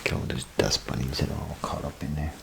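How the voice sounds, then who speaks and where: A man doing a soft-spoken ASMR role play as a bathroom attendant, rambling casually and unhurriedly to the listener in a breathy, gravelly half-whisper. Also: a bass voice, steady tone. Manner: unhurried, casual, folksy muttering with pauses.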